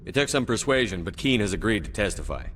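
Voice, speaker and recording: A man playing a calm, matter-of-fact military commander in a video game, direct and dry. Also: on the low side, fast talker, slight background noise. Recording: voice under loud background music.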